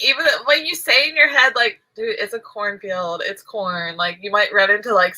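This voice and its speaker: Giggly, stuffy-nosed woman rambling slowly. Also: mid-range voice, pushed and bright, poor audio. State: stuffy, nasal from allergies. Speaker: crochet vlogger. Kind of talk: casual chat while crocheting.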